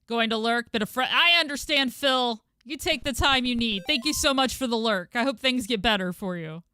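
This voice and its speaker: A young woman streamer with a husky voice, chatting casually with viewers while gaming and thanking them, with frequent fillers. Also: fairly high pitch, moderate pace, bright, forceful tone.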